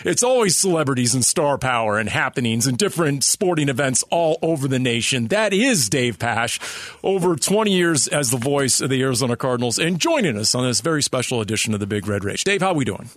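A man's voice: mid-range voice, lively intonation, fairly quick, dry recording. A man hosting a sports radio show, talking in a hyped, theatrical, emphatic showman style.

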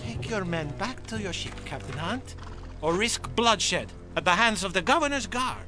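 An actor playing a suave, sly Spanish businessman in a radio drama, smooth, mock-polite and wry. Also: high-pitched, big pitch swings, moderate pace, over music. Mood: coolly threatening.

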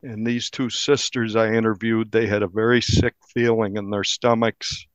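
A man, a newspaper reporter, recounting a crime case in an interview with measured, deliberate storytelling. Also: lowish voice, level tone, clean tone, dry recording.

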